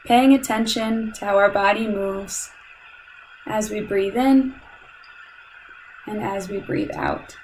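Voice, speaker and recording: Woman, meditation guide, calm and soothing, unhurried slow delivery, measured phrases, long pauses, faint background noise. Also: mid-range voice, poor audio. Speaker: meditation guide, lab instructor.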